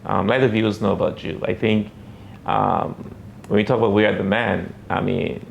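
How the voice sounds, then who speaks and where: A man hosting an interview show, speaking hesitantly with many pauses and fillers. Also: lowish voice, steady tone, gravelly, dull audio, a little hiss or hum. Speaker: show host.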